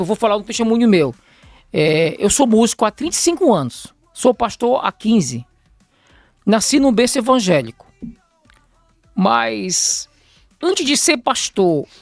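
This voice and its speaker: A middle-aged Brazilian pastor from Pará speaks in a calm, measured cadence, pausing as he preaches. The recording is dry and close, with faint music beneath. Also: high voice, dry recording. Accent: Brazilian Portuguese, from Belém do Pará. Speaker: pastor and spiritual mentor. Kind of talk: calm conversational talk-show guest.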